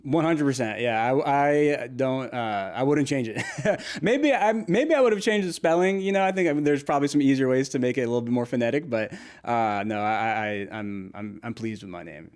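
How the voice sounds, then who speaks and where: A young man from Nashville talks easily as a guest in an interview. He laughs a lot and stops and restarts his sentences, sounding friendly and unhurried. His voice sits at an everyday middle pitch. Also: darkish tone. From Nashville, United States. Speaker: interview guest, food creator. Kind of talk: casual conversational interview.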